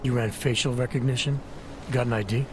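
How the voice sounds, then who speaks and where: A man's voice: mid-range voice, steady tone, fairly quick, over music, lightly acted. An actor playing a terse, skeptical male special agent in a video game, dry and questioning. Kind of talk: video game cutscene acting.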